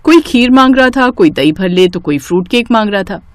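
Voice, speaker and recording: A woman narrating a scripted story in an expressive storytelling manner. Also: mid-range voice, fairly quick.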